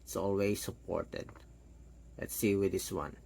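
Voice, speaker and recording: A man giving a casual, hands-on product demo, talking step by step in short, halting phrases. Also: low-pitched, steady tone, gravelly, a little mellow, a little hiss or hum. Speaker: product reviewer.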